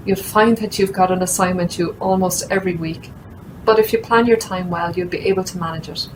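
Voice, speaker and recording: A woman's voice: fairly low pitch, steady tone, fairly quick, noisy background. A young woman, talking steadily and earnestly about her studies into a laptop webcam at home. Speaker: master's student. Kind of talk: prepared testimonial talk. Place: room at home with shelves.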